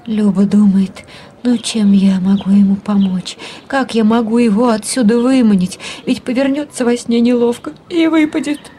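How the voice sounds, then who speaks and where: A woman narrating a story in an audio drama, measured and in short phrases. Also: a little high, dark tone, slight background noise, close to an everyday voice.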